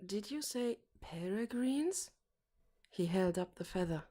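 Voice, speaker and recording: A woman narrating an audiobook as a pensive, uneasy teenage boy, in a measured, introspective way. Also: mid-range voice, expressive, warm tone.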